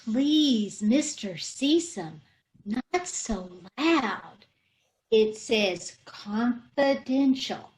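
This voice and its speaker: A woman playing a scatterbrained middle-aged housewife in a radio comedy, naive and earnest, mixing up her words. She speaks slowly with a bright, high singsong lilt. The audio comes over a video call. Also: animated, rough recording. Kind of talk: old-time radio comedy acting.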